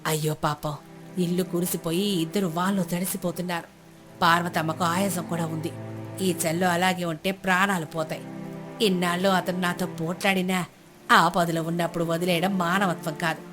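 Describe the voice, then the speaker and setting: A woman plays a kind, practical old village woman in a storybook tale. She speaks in a homely, pleading and reassuring way, forgiving and a little lonely. Music plays softly underneath. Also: fairly low pitch, little pitch movement, moderate pace, pitched below the performer's own voice, close to an everyday voice. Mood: pitying. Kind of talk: moral storybook character dialogue.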